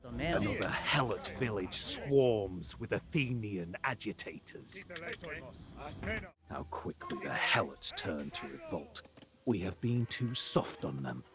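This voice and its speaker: An actor playing a contemptuous, hard-hearted Spartan warrior in a historical video game, with a disdainful, sneering delivery. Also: male voice, on the low side, expressive, takes it slowly. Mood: cold contempt.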